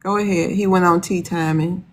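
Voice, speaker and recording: A woman hosting a live debate panel, moderating in a casual, brisk way. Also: lowish voice, steady tone. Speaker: panel host.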